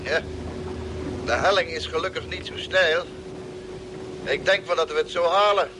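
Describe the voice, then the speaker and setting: Actor as high-voiced, practical space crewman. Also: moderate pace, natural voice. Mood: doubtful, practical. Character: plain-spoken, practical male space crewman driving across Mars. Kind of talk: old Dutch radio drama acting. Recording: noisy old radio drama, engine rumble.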